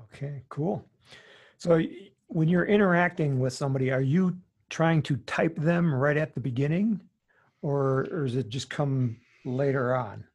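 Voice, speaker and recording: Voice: mid-range voice, measured pace, darkish tone, husky, muffled recording. A podcast host asking short, casual questions in an interview.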